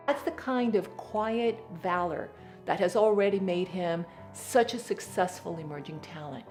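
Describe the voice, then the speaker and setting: A woman reads scripted documentary narration in a medium-pitched voice. Faint music plays underneath her words as she introduces and comments.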